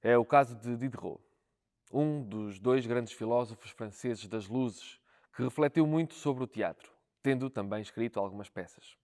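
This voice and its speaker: A man's voice: mid-range voice, normal speed, a little mellow. A man with a European Portuguese accent reading a scripted educational narration.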